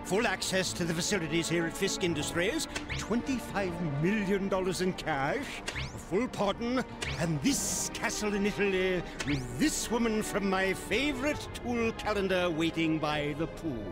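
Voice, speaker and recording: A man's voice: high voice, lively intonation, normal speed. An actor playing a fussy, greedy male inventor who explains things in a precise, lecturing way. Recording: game cutscene with loud music.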